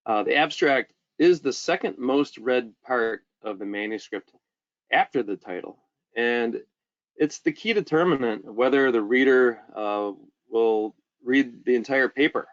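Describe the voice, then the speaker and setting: Male webinar presenter, lower register, informal and hesitant delivery, lo-fi band-limited audio. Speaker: webinar presenter, journal editor.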